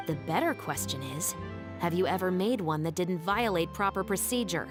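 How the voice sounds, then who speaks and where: An actress playing a dutiful, wary patrol captain in a game, speaking in a dry, measured, skeptical way. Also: female voice, on the low side, animated, close to an everyday voice. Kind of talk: game cutscene voice acting. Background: game music.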